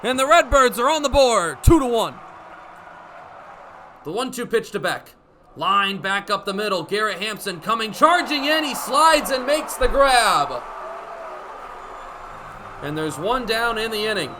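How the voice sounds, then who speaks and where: High, bright-voiced young American baseball announcer man. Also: a little slow, pauses often. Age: young adult. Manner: energetic, vivid running description. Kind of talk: live radio sports commentary. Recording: live broadcast with ballpark background noise. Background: stadium ambience.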